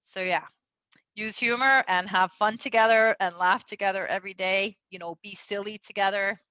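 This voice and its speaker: Bright chatty woman coaching on relationships. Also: medium pitch, steady tone, moderate pace, no echo, lo-fi sound. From Venezuela. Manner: chatty, lots of fillers, laughs often. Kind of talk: casual advice talk.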